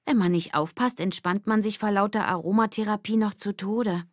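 A woman's voice: medium pitch, moderate pace, no room sound, studio quality, voice pushed up, lightly acted. A woman voicing a sardonic, theatrical, grumbling schoolgirl in a children's audiobook. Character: sardonic, dramatic schoolgirl with a broken hand.

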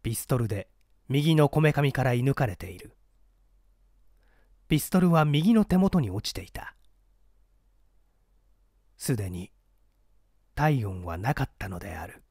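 Lively, close-miked male storyteller. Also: mid-range voice, a little slow, long pauses, dry recording. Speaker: audiobook narrator. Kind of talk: scripted literary narration of a mystery story.

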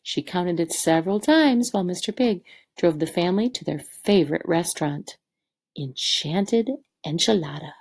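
A woman's voice: lowish voice, lively intonation, moderate pace, vocal fry, darkish tone, slightly rough. A woman teacher reading a picture book aloud to third graders, expressively and with direct questions to the children.

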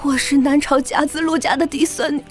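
A woman's voice: high voice, steady tone, a little fast, soft background music, close to an everyday voice. An actress playing a desperate, naive young noblewoman in a Chinese martial-arts audio drama, pleading through tears. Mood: tearful begging. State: tearful, crying.

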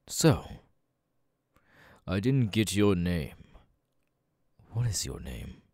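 A sadistic demon king, played by a man, speaks softly and with haughty condescension in an audio roleplay. The voice is dark and very deep, delivered at an unhurried, drawn-out pace that keeps every line menacing. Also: no room sound, clean studio sound, exaggerated voice. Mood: smug, commanding. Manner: slow, soft-spoken, condescending. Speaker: voice actor.

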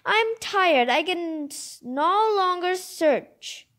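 A woman's voice: very high-pitched, animated, takes it slowly, a bit bright, exaggerated voice. A woman voicing a kind, cheerful little boy in a storybook, with an eager, sing-song delivery. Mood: tired, urging.

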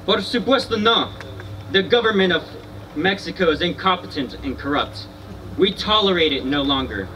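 A man's voice: high voice, expressive, normal speed, pushed and bright, slight background noise. A man playing a proud, defiant Californio rancher's son in a live historical reenactment, speaking formally and boastfully. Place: outdoor stone amphitheater in open scrubland. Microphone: stand mics, recorded from a distant camera. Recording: outdoor stage from far off.

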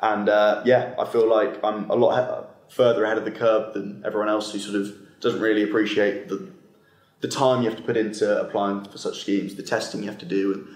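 Young student guy, low flat voice, earnest, roomy echo. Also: a little fast. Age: young adult. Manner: earnest, fluent, with some fillers. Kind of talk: open day panel talk. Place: university meeting room. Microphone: far camera. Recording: echoey meeting room.

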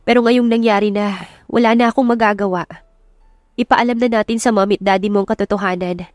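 A woman voicing a gentle, apologetic young woman with a soft, sincere manner in a romance audiobook. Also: medium pitch, steady tone, fast talker, dry recording, clean studio sound, close to an everyday voice. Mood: resigned, apologetic. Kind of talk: audiobook romance drama acting.

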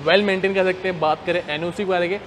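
A man's voice: sits high, fairly even intonation, brisk, a bit bright. An enthusiastic man, chatting casually as a vlog host. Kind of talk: casual Hindi-English vlog presenting. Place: indoor basement car showroom. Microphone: clip-on lapel mic. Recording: faint ambient noise of indoor car park.

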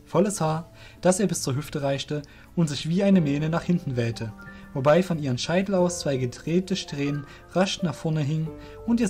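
A man's voice: on the high side, darkish tone. A man narrating a scripted fantasy audio drama as its storyteller.

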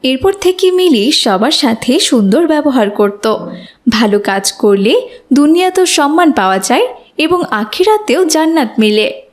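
High-pitched woman narrating a children's story. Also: big pitch swings, unhurried.

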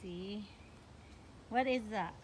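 A woman's voice: mid-range voice, takes it slowly. A woman filming a walkthrough of her mother's backyard garden on a phone, asking curious questions and repeating the answers. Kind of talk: casual handheld walkthrough. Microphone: phone camera held by speaker. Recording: outdoor noise clearly audible.

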